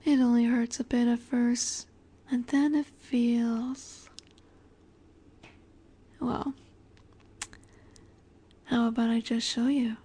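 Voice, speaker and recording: Casting: actress as a seductive vampire heiress for intimate audio roleplay, mellow and breathy, unhurried, teasing, often whispering, softly possessive. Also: female voice, on the high side, little pitch movement. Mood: seductive, gentle. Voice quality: soft, breathy.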